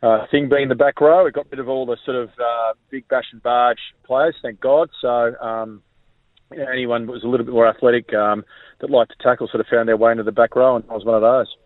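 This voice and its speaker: Relaxed Australian man on a tinny phone line. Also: mid-range voice, brisk, dry recording. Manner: relaxed, rambling, full of ums. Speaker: guest, former rugby league player. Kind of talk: casual interview.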